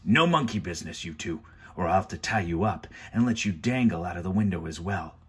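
A man's voice: deep voice, expressive, fairly quick, husky, exaggerated voice. A man reading aloud and voicing a bossy, threatening hook-handed henchman.

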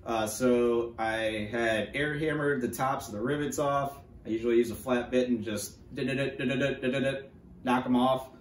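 A man's voice: mid-range voice, fairly even intonation, normal speed. A man in his thirties with a North American accent, casually telling stories as a mechanic in a plain-spoken, matter-of-fact way. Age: 30s. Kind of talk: casual storytelling to camera. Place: wooden workshop or garage, indoors. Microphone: camera a few feet away. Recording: roomy echo, distant microphone.

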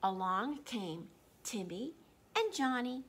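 A woman's voice: fairly high pitch, big pitch swings, slow, a bit bright, breathy edge. A woman in her sixties reading aloud to children at storytime, expressively, putting questions to them as she goes. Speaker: children's librarian storytime host. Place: small indoor room.

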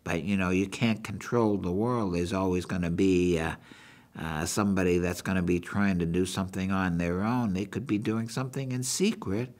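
An older man with a New England accent hosts a rambling book review in a halting, folksy way, repeating himself as he goes. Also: low-pitched, fairly even intonation, darkish tone. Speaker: show host. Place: small meeting room indoors.

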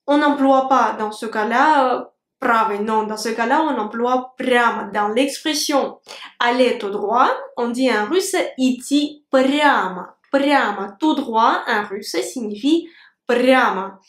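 A young woman with a Russian accent, a native Russian speaker, teaching a language lesson to camera in French with clear, careful enunciation. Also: on the high side, reverberant. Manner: clear, slow enunciation of words with gestures. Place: indoor room with a plant. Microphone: camera at medium framing.

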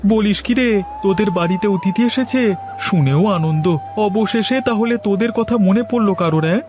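A man's voice: high voice, brisk, close to an everyday voice. A man voicing a kindly, chatty middle-aged village butcher in a cartoon, familiar and exclamatory. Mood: friendly, curious. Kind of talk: cartoon voice acting. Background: music.

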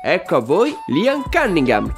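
A man in his thirties hosts a comic video. His voice is fairly high and animated, with faint music underneath. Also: measured pace. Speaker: video host. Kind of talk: animated scripted presenting with comic asides. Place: small furnished room indoors.

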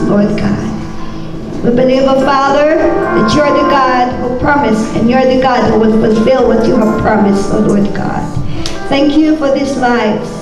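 A woman's voice: a little high, recorded from a distance. A middle-aged woman leading a fervent church prayer in a devout, exclamatory way, repeating her phrases. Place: large hard-walled community hall at a church gathering. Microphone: handheld microphone through a PA, picked up by a distant camera. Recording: very echoey hall, PA heard from afar. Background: keyboard music.

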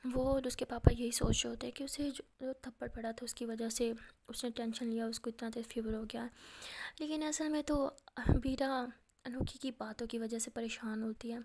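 A woman retells a family drama in a soft, steady whisper. Her voice sits high, and she narrates close and hushed from start to finish. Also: normal speed, a little hiss or hum. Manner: whispering throughout. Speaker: storyteller.

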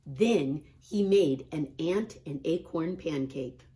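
A middle-aged woman, a kindergarten teacher, reading a picture book and chatting to children in an expressive style. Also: deep voice, slow. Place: small classroom, indoors.

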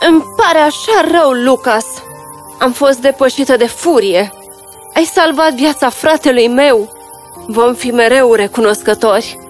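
Woman acting a remorseful fairy tale witch, gentle, earnest, pleading, higher pitch, background music. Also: natural voice. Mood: remorseful, grateful.

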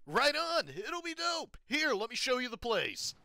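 A man's voice: very high voice, sing-song, a little slow, creaky, bright, forceful tone, slight background noise, exaggerated voice. A man playing a crude, exuberant comedian in his early thirties, theatrical and enthusiastic, in an amateur comic dub. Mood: giddy, excited.

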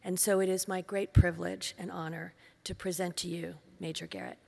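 Heartfelt woman with a gravelly voice, hall echo. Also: on the low side, level tone, background noise. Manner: heartfelt, measured, listing phrases. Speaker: event host introducing the speaker. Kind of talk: formal introduction speech. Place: large conference hall stage. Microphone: podium microphone. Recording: long hall reverb.

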